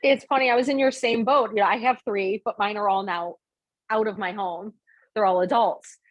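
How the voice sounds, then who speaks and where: A woman's voice: mid-range voice, fairly even intonation, normal speed, dull audio. A middle-aged American woman hosting an interview in a casual, chatty style. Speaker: interview host.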